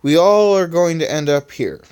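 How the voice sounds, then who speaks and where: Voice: medium pitch, normal speed, darkish tone, smooth, voice pushed up, close to an everyday voice. A reader voicing an earnest young male hero who explains things and pleads with his father. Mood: explaining. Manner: urgent, emotional.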